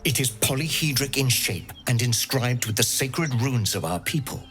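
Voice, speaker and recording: A man playing a haughty, menacing alien knight commander in a video game, speaking imperiously and deliberately. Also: mid-range voice, lively intonation, a bit bright, soft background music, lightly acted. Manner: imperious, slow, deliberate, threatening. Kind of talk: dramatic video game acting.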